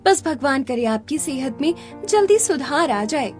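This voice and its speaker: A high-voiced young village wife and mother from a cartoon story , acted by a woman. She is poor and devoted, anxious and pleading, with faint music behind her. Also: lively intonation, a little slow. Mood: gentle, soothing. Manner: earnest, pleading, gentle with family. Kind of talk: Hindi cartoon story dialogue.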